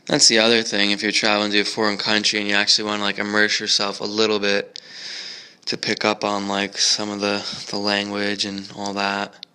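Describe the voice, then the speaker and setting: A man's voice: fairly low pitch, completely flat delivery, a little fast, sharp tone, clean tone, rough recording. An American man chatting casually as a travel vlogger in a museum park, rambling with many fillers and restarts.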